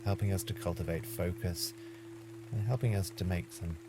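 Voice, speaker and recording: A man leading a guided mindfulness meditation, giving gentle, measured instructions with frequent pauses. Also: low voice, steady tone, over loud music.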